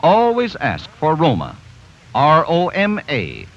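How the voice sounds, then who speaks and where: Brief: male announcer, sweeping pitch, lo-fi vintage radio recording. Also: wildly swooping pitch, slow. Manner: smooth, lilting pitch, emphatic. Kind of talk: 1940s radio sponsor announcement. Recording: lo-fi old radio broadcast.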